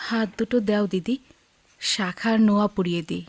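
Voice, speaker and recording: A woman's voice: medium pitch, fairly even intonation, moderate pace, natural voice. A woman acting in a radio drama as a kindly, comforting village sister-in-law.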